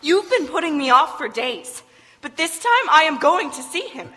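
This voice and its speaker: A woman's voice: high voice, very animated, moderate pace, sharp tone, heavily acted. An actress playing an insistent, suspicious, alarmed woman in 1940s radio drama style, melodramatic in delivery. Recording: live stage, long hall reverb.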